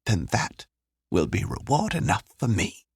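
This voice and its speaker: A man voicing a kind, gentle woman in an audiobook. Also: lowish voice, sing-song, measured pace, gravelly, husky, no echo, studio quality, pitched above the performer's own voice, heavily acted. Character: kind, gentle, grateful women in heartfelt talk.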